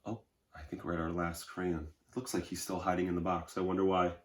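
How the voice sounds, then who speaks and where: A man's voice: sits low, flat delivery, brisk. A man speaking as a schoolteacher to children, reading a story aloud and explaining in an encouraging, instructive way. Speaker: elementary school teacher. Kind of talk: read-aloud and lesson for children. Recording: roomy, echoey indoor sound.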